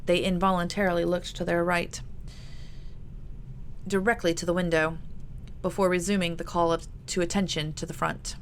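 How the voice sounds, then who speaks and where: Low-voiced woman narrating, noisy recording. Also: vocal fry. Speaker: author reading own novel. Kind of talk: audiobook-style narration of historical fiction. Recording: noticeable background noise.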